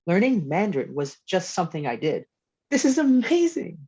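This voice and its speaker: A woman playing an excitable, cheeky kid egging on a parent, in a comedy table read. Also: on the low side, moderate pace, husky. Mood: amazed. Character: enthusiastic, cheeky kid of a single mom. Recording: dull lo-fi video call.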